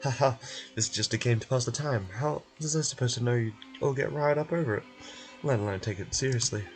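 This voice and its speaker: A man's voice: mid-range voice, fast, soft background music, natural voice. A man voicing a casual, unbothered prison guard who runs games in a video game. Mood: breezy. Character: easygoing male prison guard running a betting game.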